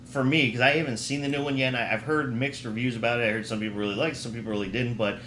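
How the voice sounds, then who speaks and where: A man's voice: medium pitch, steady tone, racing, pushed and bright. A man hosting a podcast in a casual, chatty style. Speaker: podcast host. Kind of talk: casual chat. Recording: roomy echo, faint background noise.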